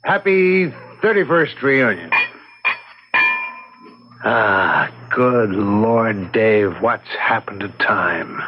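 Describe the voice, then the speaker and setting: Genial middle-aged war vet, slow husky voice, folksy, muffled 70s radio play sound. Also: male voice, fairly low pitch, lively intonation, slight background noise. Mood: genial, nostalgic. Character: Gary, genial middle-aged war veteran and doting father. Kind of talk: 1970s radio drama acting. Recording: dull lo-fi old radio broadcast.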